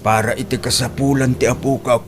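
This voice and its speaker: A man with an Ilocano accent playing a protective, supportive older father in an audio drama, speaking in a measured, concerned way. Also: mid-range voice, steady tone, background noise, natural voice.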